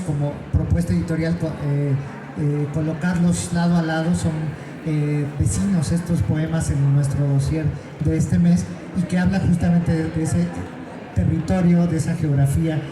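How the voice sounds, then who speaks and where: A man moderating a literary panel, speaking in a measured way and searching for his words. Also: a little high. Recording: echoey venue with background noise.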